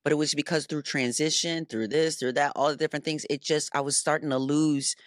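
Grateful woman in her forties, halting. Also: low-pitched, steady tone, dry recording, studio quality. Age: 40s. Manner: grateful, effusive, halting restarts. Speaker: guest singer. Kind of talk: casual conversation.

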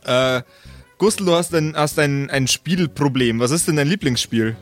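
A man hosting as game master in casual tabletop chat, halting and repeating words as he improvises questions. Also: a little high. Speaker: game master and podcast host. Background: circus-style music.

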